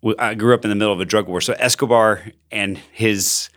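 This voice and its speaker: A man who grew up in Colombia talks in a fairly low voice. He is a pastor co-hosting a podcast and speaks in an earnest, enthusiastic, conversational way. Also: steady tone, fairly quick, a bit bright. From Colombia, raised in Bogota by missionary parents.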